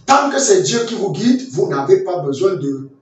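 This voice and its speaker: A man from Nigeria delivers a Sunday school lesson like a sermon, with a fairly high voice. He picks his words with many repeats and restarts, slowing down for scripture. He sounds heavily echoey and set back from the mic. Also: sing-song, far from the mic. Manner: repeats phrases and restarts, quotes scripture slowly. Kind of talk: sermon-style Bible lesson with personal testimony.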